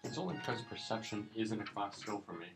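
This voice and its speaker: A man's voice: lowish voice, expressive, quick pace, over music. A man playing a tabletop roleplaying game, chatting casually and teasing in a jokey way. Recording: clear background noise at game table.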